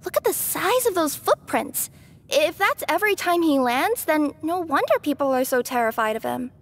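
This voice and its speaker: A woman's voice: high-pitched, very animated, moderate pace, fairly bright tone, a little hiss or hum, exaggerated voice. An actress playing an earnest, friendly and curious young girl adventurer in a video game. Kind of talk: video game dialogue acting.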